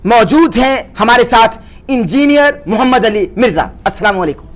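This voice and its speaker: A male interviewer with a high voice for a man. He speaks in formal, rhetorical phrases with a deferential, declamatory delivery. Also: lively intonation, normal speed. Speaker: podcast host and interviewer.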